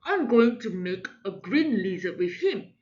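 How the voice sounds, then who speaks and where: A woman voicing opinionated, fussy crayon gossips in a children's storybook, with brisk, exaggerated, judging delivery. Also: medium pitch, sing-song, a little mellow, roomy sound, lo-fi sound. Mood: admiring.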